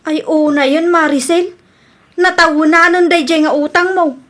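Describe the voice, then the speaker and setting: A woman's voice: very high-pitched, steady tone, normal speed, a bit bright. An actress with an Ilocano Filipino accent playing an aggrieved, plaintive woman shopkeeper in a radio drama. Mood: exasperated. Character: aggrieved woman shopkeeper chasing debts, complaining to her father.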